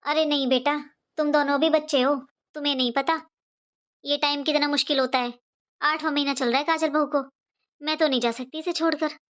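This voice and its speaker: A high-voiced middle-aged mother-in-law acted by a woman from a cartoon family drama. She is caring but fretful, fussing over everyone and repeating her points insistently. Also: steady tone, brisk, a bit bright. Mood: worried. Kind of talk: animated family drama dialogue.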